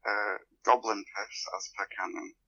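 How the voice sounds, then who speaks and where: A man's voice: on the low side, little pitch movement, measured pace, gravelly, sharp tone. A man talking casually and a bit hesitantly on a group podcast, with wry humour and muffled sound. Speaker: podcast guest, fan fiction author.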